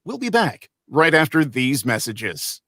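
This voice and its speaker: A man's voice: fairly high pitch, sing-song, a little slow, bright, forceful tone, dry recording. A man announcing a radio show in scripted continuity lines.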